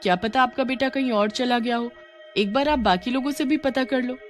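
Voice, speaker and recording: A woman's voice: fairly high pitch, fairly quick, soft background music, voice pushed up, natural voice. A woman voicing a young schoolgirl with an earnest, questioning manner in a horror story. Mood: matter-of-fact. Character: young schoolgirl, puzzled and uneasy.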